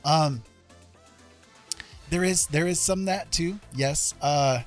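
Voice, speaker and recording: A man hosting a casual livestream, chatting and explaining things in halting, carefully pieced-together phrases. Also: fairly high pitch, faint music behind. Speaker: art livestream host.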